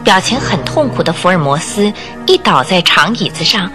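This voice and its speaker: A woman's voice: on the low side, big pitch swings, normal speed. A woman reading scripted narration for a radio drama, as its storyteller. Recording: music behind the voice. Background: background music.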